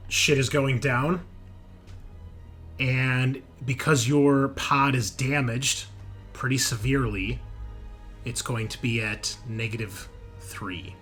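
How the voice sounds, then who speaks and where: A man's voice: mid-range voice, fairly even intonation, slow pace, pauses often, a bit bright. A man running a sci-fi horror tabletop game as game master, narrating scenes descriptively and swearing casually. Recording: faint background music.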